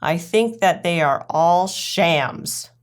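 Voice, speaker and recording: A woman's voice: lowish voice, takes it slowly. A woman voicing an anxious, well-mannered lady dog guest with formal, fretful politeness in a storybook reading. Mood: fretful, puzzled.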